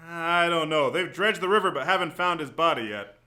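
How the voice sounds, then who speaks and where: Man playing a bright-voiced, earnest newspaper reporter. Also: on the high side, a little fast. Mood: confiding. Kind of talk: 1940s-style radio drama acting.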